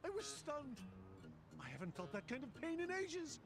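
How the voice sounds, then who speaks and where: A man's voice: very high-pitched, wildly swooping pitch, normal speed, a crowd in the background, full character voice. An actor playing an eager, lovestruck masochistic man in a video game, melodramatically polite and theatrical, pleading as he talks. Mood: courteous, confessing. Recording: game audio with clear music behind.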